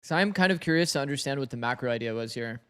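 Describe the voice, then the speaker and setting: A man streaming an esports match, breaking down plays in casual chat with his viewers. His voice is slightly raspy from heavy streaming, and he talks on, thinking aloud. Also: medium pitch, animated, rapid-fire, fairly bright tone.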